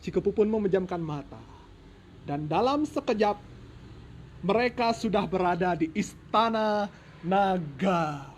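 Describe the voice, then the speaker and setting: Male storyteller, high voice, playful folk-tale narration with joking asides, light noise behind. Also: lively intonation, a little slow, pauses often. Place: at home.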